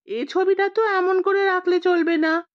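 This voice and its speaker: A woman's voice: very high-pitched, fairly even intonation, measured pace, no echo, dull audio, voice pushed up. A woman voicing a solemn, pompous old male village priest, making grave, reverent pronouncements in an audio story. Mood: solemn reverence.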